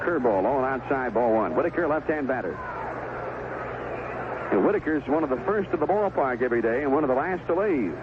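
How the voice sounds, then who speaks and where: An American man calling baseball play-by-play on the radio, in clipped phrases with unhurried pauses. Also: medium pitch, expressive. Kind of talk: live baseball radio commentary. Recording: tinny, noisy 1970s AM radio broadcast.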